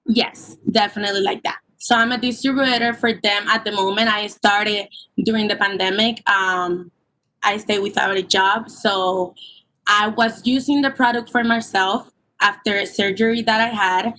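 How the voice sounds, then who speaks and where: A woman of twenty-one, explaining her business plan earnestly at a public hearing, with halting ums, over a call line. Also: mid-range voice, fairly even intonation, sharp tone, poor audio. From Puerto Rico. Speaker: permit applicant. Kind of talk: remote public hearing testimony.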